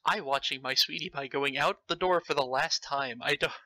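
A man's voice: medium pitch, normal speed, sharp tone, no room sound, close to an everyday voice. A man voicing a clingy young woman in love, pleading melodramatically in a story reading. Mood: anxious, lovelorn.

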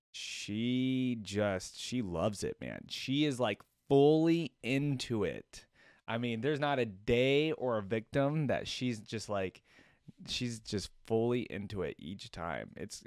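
Low-voiced American guy reacting casually. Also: no echo, studio quality. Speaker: reaction video host.

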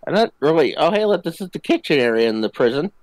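A man's voice: on the high side, big pitch swings, quick pace, darkish tone, no echo, low-quality recording. A man joking around casually as he plays a co-op game, often chuckling as he talks. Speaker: co-op gaming video player. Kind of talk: casual joking gaming banter.

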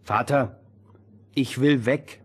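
An actor playing an eager, boastful teenage miller's son, impetuous and excitable, in a fairy-tale radio play. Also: male voice, medium pitch, slow pace, husky. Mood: defiant. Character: eager, cocky fifteen-year-old miller's son longing for adventure.

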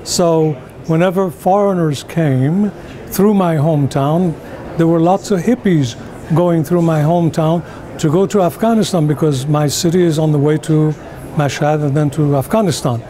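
A man's voice: a little high, normal speed, warm tone. An older man from Iran with an Iranian accent, telling his life story to the camera at a conference. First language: Persian. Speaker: interviewee. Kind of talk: personal recollection to camera. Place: indoor conference venue.

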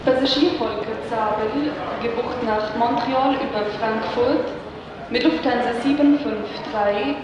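A woman's voice: fairly high pitch, unhurried. Echoing female airport announcer. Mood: formal. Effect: airport loudspeaker. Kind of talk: public address announcement. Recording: echoing loudspeaker, muffled, distant, noisy hall.

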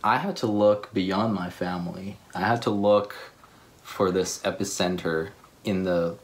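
A young man with a Ukrainian accent talking casually about faith on a living room couch, pausing and repeating words as he searches for them. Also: low-pitched. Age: young adult. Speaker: church speaker.

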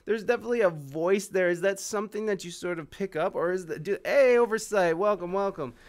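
High-voiced, bubbly male host. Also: brisk. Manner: bubbly, stumbling, self-mocking. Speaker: podcast host. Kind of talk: casual streamed talk show. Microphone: headset and studio mic on webcam.

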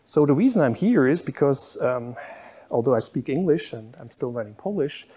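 A man's voice: mid-range voice, expressive, moderate pace, warm tone, breathy edge. A middle-aged man giving a university public lecture in a lecture room, explaining slides with frequent fillers. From Belgium.

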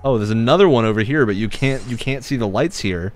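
A man's voice: medium pitch, lively intonation, fast talker, a little mellow, soft background music. A young man giving casual, critical, bemused commentary as he streams a video game.

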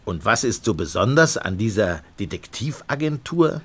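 An actor playing a skeptical, proud English police inspector who asks blunt questions in a German radio play. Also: male voice, fairly low pitch, sing-song, normal speed, slightly rough.

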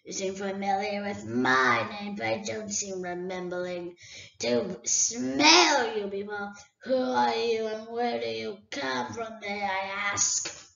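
A woman voicing a huge, cunning male dragon who drawls slowly and sneers. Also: mid-range voice, creaky, a bit bright, roomy sound, lo-fi sound, slight background noise. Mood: menacing. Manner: slow, drawn-out, sneering speech.

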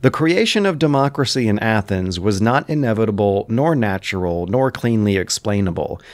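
Guy with a lowish voice reading explanatory commentary, really dry close-mic sound. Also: animated, normal speed, no room sound. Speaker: narrator and commentator.

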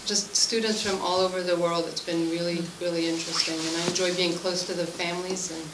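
A woman's voice: lowish voice, little pitch movement, fairly quick. Echoey, distant Swiss-born French-speaking woman teacher. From Switzerland. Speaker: English language teacher. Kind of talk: informal self-introduction at a public meeting. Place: school room at a committee meeting, indoors. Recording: echoey room, distant mic, background noise.

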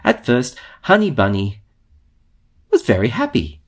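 A man reading a children's storybook aloud in a theatrical way, with drawn-out emphasis. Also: mid-range voice, huge pitch swings. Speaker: storybook reader.

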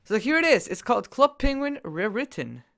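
A young man casually commenting while he plays a game on his computer, excitable and jokey, jumping from loud bursts to quiet mumbles. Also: very high voice, normal speed, vocal fry. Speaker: gaming YouTuber.

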